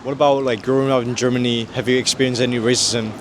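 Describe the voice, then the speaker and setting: A man's voice: medium pitch, flat delivery, quick pace, noisy background. A man hosting a vlog, asking curious questions and narrating. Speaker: host and interviewer. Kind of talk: vlog interview and voice-over narration. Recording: narration over loud background music.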